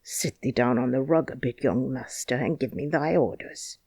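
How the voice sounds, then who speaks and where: A woman voicing a crabbed, stubborn old gardener with a Yorkshire dialect, speaking dryly and slowly. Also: a bass voice, creaky, warm tone, breathy edge, dry recording, pitched below the performer's own voice, exaggerated voice. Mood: gruff kindly. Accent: Yorkshire dialect, put on. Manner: dry, grumbling, slow. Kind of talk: audiobook character reading.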